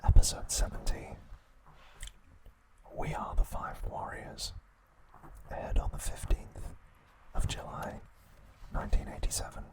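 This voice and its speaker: A man hosting ASMR, narrating a recap in a breathy, hushed whisper with short, halting phrases. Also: low-quality recording. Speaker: ASMR host.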